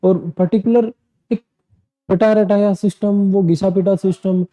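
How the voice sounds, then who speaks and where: A man talks through an exam coaching lesson in a loose, chatty way, circling back to repeat his points. His voice is very dark and mellow, sitting a little high in pitch. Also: steady tone, a little slow, poor audio. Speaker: PTE and IELTS coaching teacher. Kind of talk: casual spoken lesson to students. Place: indoor teaching room.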